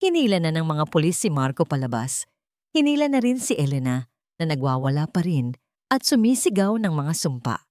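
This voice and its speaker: A woman's voice: low-pitched, big pitch swings, normal speed, a little mellow, no room sound, studio quality. A woman reading a dramatic love story as an audiobook narrator.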